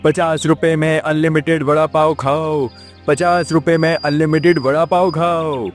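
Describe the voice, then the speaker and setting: A man's voice: fairly high pitch, little pitch movement, measured pace, close to an everyday voice. Guy doing a scheming jealous village vendor for a cartoon, villain type, music behind him. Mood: hawking loudly. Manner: villainous, muttering his plots to himself. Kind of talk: Hindi moral-story cartoon dubbing.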